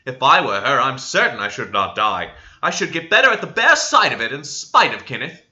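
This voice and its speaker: A man voicing an excitable young servant girl who chatters rapturously in a storybook reading. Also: mid-range voice, brisk, highs cut off. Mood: rapturous, excited.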